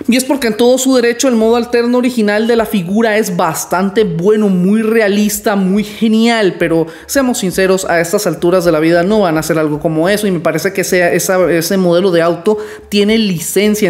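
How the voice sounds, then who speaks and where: A man in his twenties with a high voice, talking in a casual, rambling and playfully boastful way in a toy review vlog, recorded at home on a studio mic. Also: darkish tone. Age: 20s. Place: small room at home. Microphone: studio mic on stand in front.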